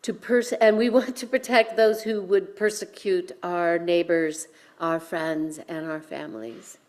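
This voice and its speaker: Woman minister giving a sermon, really slow pace, pauses a lot and leans on key words. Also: lowish voice, fairly even intonation, darkish tone. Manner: deliberate, dramatic emphasis with pauses.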